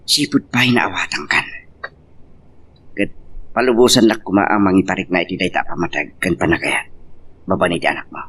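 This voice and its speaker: A man's voice: low voice, steady tone, moderate pace, pauses often, a bit bright, slightly rough. A man acting an earnest, lovelorn suitor in an Ilocano romance drama, persuasive in manner, with an Ilocano Filipino accent. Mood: lovelorn, pleading.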